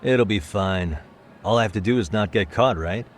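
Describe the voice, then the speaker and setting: Man playing a deep-voiced, unfazed detective. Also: normal speed, a little hiss or hum, close to an everyday voice. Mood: confident. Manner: terse, casual, unfazed. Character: cool, determined male detective sneaking on a mission. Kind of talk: English video game voice acting.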